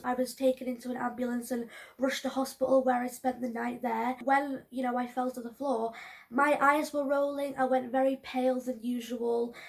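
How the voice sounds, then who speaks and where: A woman in her early twenties, hoarse from allergies, talking to camera in a chatty, rambling way with many ums and restarts. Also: high-pitched. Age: early 20s. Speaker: vlogger. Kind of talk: casual talk to camera.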